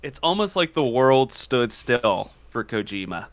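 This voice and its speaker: A man co-hosting a casual gaming podcast, excitable and animated and quick to laugh, speaking into a studio mic. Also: medium pitch, no room sound. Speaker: podcast co-host.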